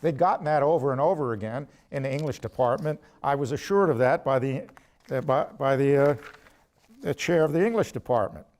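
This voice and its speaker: An older man, a professor, lecturing from a prepared text in a deliberate, measured way with emphatic pauses, quotations and asides. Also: mid-range voice, darkish tone. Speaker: ethics professor giving a lecture.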